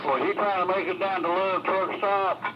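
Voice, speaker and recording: A man's voice: high-pitched, steady tone, normal speed. A man with a Southern US accent chatting casually over a CB radio transmission. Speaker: CB radio operator. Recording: dull, noisy CB radio signal.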